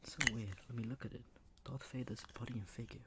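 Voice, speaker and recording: A soft-spoken man rambling casually in an ASMR style, often dropping into a whisper. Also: mid-range voice, fairly even intonation, fast talker. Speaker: ASMR video host. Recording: clear background noise.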